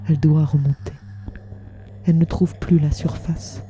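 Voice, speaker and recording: A woman narrating literary audio fiction in measured short phrases, with a hushed, breathy voice. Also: deep voice, flat delivery. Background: music.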